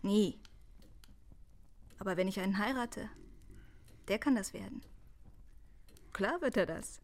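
An actress playing a clever, proud young daughter of a dike master in a radio play, with a teasing manner. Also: female voice, medium pitch, takes it slowly, darkish tone, lightly acted. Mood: wistful. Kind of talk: German radio play acting.

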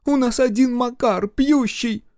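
A man's voice: extremely high pitch, measured pace, darkish tone, no echo, clean studio sound, voice pushed up. A man voicing a fussy, superstitious middle-aged village mother-in-law who scolds and gossips. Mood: scolding. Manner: scolding, conspiratorial.

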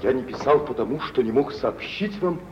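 An actor playing a suave, proud gentleman and reproachful former lover in a Russian radio play, with theatrical, declamatory delivery. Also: mid-range voice, lively intonation, moderate pace, lightly acted. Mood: probing. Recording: muffled, noisy 1950s radio play recording.

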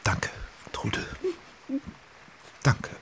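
An actor playing a proud middle-aged film director in a radio drama, justifying himself in a defensive, argumentative way. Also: male voice, extremely slow, in a whisper. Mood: tender. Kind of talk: German radio drama acting.

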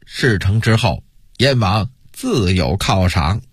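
A man puts on a haughty military commander from a historical audiobook. He speechifies with pompous authority and scorn, his husky voice rising and falling widely. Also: on the low side, lively intonation, slow pace, no echo, studio quality, pitched below the performer's own voice, exaggerated voice. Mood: pompous rallying.

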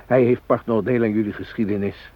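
Husky, low-voiced shaken hostage played by a man. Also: steady tone, lightly acted. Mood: bewildered, indignant. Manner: halting, plain-spoken, repeating words. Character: weary captive railwayman, ordinary family man, shaken but protesting. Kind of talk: radio drama acting, naturalistic. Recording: dull lo-fi radio drama recording.

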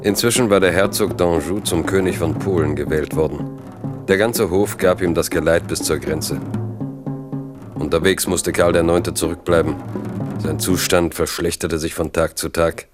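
Male narrator for historical radio drama: very low voice, measured, literary storytelling. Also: steady tone, music in the background. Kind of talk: scripted literary narration, 1970s radio play.

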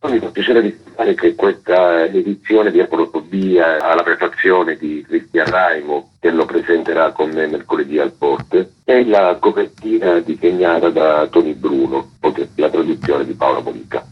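Deep husky man on a phone line. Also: normal speed, hardly any pauses. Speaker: publisher, interview guest. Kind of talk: radio phone interview. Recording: tinny, muffled telephone line.

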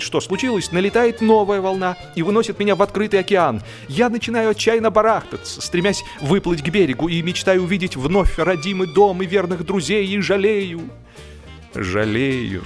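Theatrical male storyteller over music. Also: medium pitch. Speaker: audiobook narrator. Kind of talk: expressive humorous literary narration.

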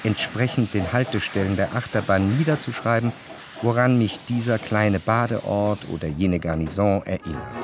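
Lowish-voiced reflective literary narrator played by a man. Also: moderate pace, music in the background, lightly acted. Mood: wry, measured. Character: reflective male narrator recalling his memories, literary and measured. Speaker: first-person narrator. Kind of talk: scripted literary radio narration.

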